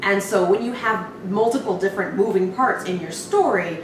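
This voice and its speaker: A woman's voice: medium pitch, moderate pace. A woman co-hosting a reaction show, giving casual, blunt, sweary commentary with exasperated emphasis. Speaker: co-host of a horror trailer reaction show. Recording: very echoey noisy room, distant mic.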